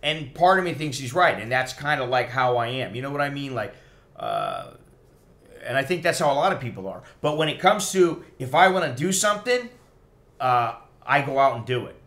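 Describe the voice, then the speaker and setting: A man's voice: mid-range voice, very animated, normal speed, fairly bright tone. Middle-aged American male expat vlogger, mid-range voice, tipsy, opinionated and sweary, informal off-the-cuff livestream talk. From United States. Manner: blunt, profane, opinionated. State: tipsy after a few drinks. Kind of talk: casual rambling livestream chat.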